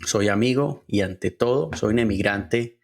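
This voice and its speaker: Podcast host, Colombian man from Cali, late thirties, slightly low voice, sincere and deliberate personal monologue. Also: vocal fry. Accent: Colombian Spanish from Cali. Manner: earnest, halting, with frequent "eh" fillers and slow deliberate stretches.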